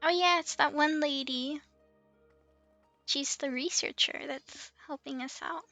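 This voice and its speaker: Woman, very high bright voice, creaky edges, playful, giggly, casual game stream commentary. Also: a little slow, no room sound, faint music behind. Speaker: livestream host playing an online game. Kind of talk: casual chat with game commentary and dialogue read aloud.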